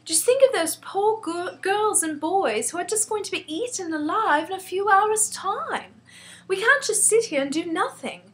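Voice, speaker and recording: A woman voicing an impassioned, determined little orphan girl in a storybook, excitable and insistent. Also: sits high, a little slow, pitched above the performer's own voice, exaggerated voice. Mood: desperate. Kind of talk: storybook character voice.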